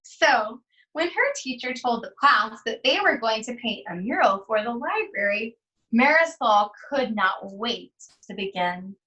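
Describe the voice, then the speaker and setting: Bright-voiced woman reading a storybook slowly. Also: fairly high pitch, recorded from a distance, lo-fi sound. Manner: deliberate, expressive phrasing with pauses. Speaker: art teacher reading aloud. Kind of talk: slow storybook read-aloud for children.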